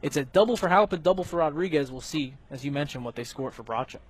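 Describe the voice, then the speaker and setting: A man's voice: a little high, lively intonation, fast, husky. A man working as a radio color commentator, explaining baseball plays in a conversational way. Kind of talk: baseball analysis between plays.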